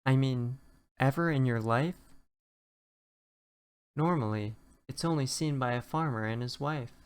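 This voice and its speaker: A narrator reading a rhyming children's storybook aloud in an expressive storytelling way. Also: mid-range voice, measured pace, clear, resonant tone. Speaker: children's book reader.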